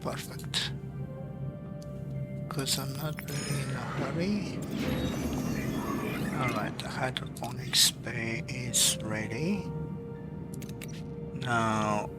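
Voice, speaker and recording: A man's voice: medium pitch, very slow, pauses often. A man giving casual commentary while playing a game, thinking aloud half to himself. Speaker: gamer. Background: loud game music.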